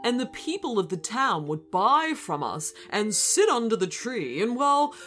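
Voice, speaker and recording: A woman's voice: on the high side, very animated, unhurried, fairly bright tone, soft background music. A woman voicing a jolly but easily outraged male crocodile baker in a children's story, with stammering, indignant exclamations. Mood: apologetic, wistful.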